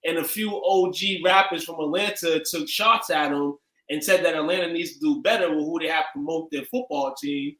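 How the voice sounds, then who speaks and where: Male co-host, excitable with um-filled pauses, higher pitch, echoey room sound. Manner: excitable, hesitant with "um". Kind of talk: casual sports chat.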